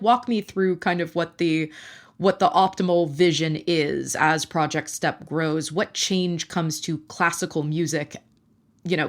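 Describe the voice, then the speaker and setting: A woman reporter hosting a podcast, asking long thoughtful questions with many hedges and fillers. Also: low voice. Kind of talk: interviewing.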